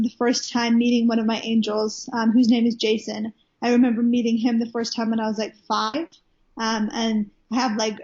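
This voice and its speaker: Chatty young woman, slightly high voice. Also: darkish tone. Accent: American. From Wales. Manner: chatty, many fillers and restarts. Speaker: guest minister. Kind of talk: casual interview storytelling. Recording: muffled lo-fi recording.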